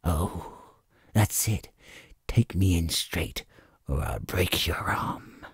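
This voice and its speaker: Sinister sing-song old blind beggar. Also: male voice, low-pitched, slow, gravelly, slightly rough, dry recording, studio quality, pitched below the performer's own voice, exaggerated voice. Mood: soft menace. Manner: cold sing-song, soft-spoken. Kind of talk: audiobook character acting.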